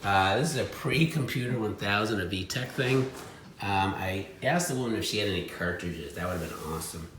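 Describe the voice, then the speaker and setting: A man's voice: fairly low pitch, lively intonation, fairly quick. A man co-hosting a secondhand haul video, chatting off the cuff with enthusiasm and prompting his partner. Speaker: co-host of a thrifting haul video. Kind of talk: casual unscripted chat and commentary. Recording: roomy echo, some background noise.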